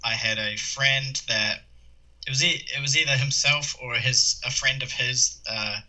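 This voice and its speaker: Bright-voiced New Zealand man, rambling. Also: medium pitch, measured pace, rough recording. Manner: rambling, many fillers and restarts. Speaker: podcast guest. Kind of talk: casual chat.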